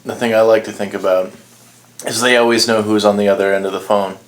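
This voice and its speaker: A man's voice: lowish voice, flat delivery, quick pace, rough recording. A man in his early thirties talking casually in a group conversation. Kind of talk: casual chat.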